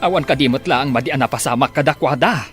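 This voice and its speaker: A man playing a concerned, calming family man in a radio drama, husky, with an Ilocano accent. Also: mid-range voice, quick pace, faint music behind, lightly acted.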